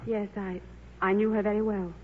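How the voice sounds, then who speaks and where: A woman's voice: medium pitch, flat delivery, moderate pace, husky, natural voice. A woman playing a kind young stewardess, polite and reassuring, in 1950s radio drama style. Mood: concerned, gentle. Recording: tinny, noisy old radio broadcast.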